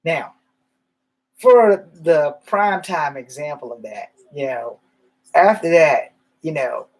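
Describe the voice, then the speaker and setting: A man's voice: on the high side, expressive, takes it slowly, poor audio. A man rambling through candid stories in a casual monologue, swearing now and then. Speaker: vlogger.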